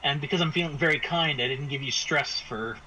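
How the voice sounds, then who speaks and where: Bright-voiced man running a tabletop game. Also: on the high side, poor audio. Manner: hesitant, halting phrasing with repeated words. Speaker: game master. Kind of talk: improvised narration of a tabletop game. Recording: faint background noise.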